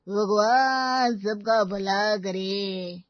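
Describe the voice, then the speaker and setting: A woman voicing a grateful poor neighbour in a cartoon, with a drawn-out, pious way of talking. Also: medium pitch, dry recording, dull audio. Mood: grateful, inviting. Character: grateful poor neighbour waiting for free food. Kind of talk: cartoon character acting.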